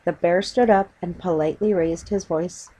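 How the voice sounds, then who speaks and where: A woman's voice: on the low side, steady tone, moderate pace, warm tone, rough recording. A woman reading a children's story aloud as scripted audiobook narration.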